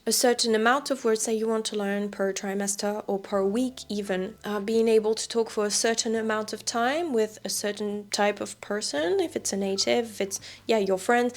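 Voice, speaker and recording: Young lady, language teacher vlogging tips, relaxed and chatty, normal mid pitch. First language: French. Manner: chatty, relaxed, with light laughs. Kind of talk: casual advice vlog.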